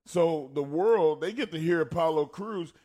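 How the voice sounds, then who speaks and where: A man's voice: a little high, moderate pace, dark tone. Texas country guy chatting on a podcast, laid back, talks in stories. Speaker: podcast co-host. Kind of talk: casual chat.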